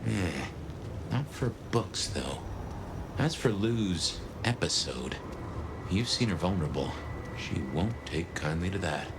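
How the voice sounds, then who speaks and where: A man's voice: sits low, very animated, slow pace, background noise, full character voice. An actor playing a wry, world-weary, folksy male companion in a video game, dry and musing. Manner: drawling idiom, dry, musing.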